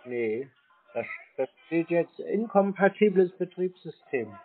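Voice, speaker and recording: A man's voice: fairly high pitch, animated, a little slow, slightly rough. A man chatting casually on a call, laconic, playing along with naive questions. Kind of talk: casual phone chat. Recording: tinny narrow phone line.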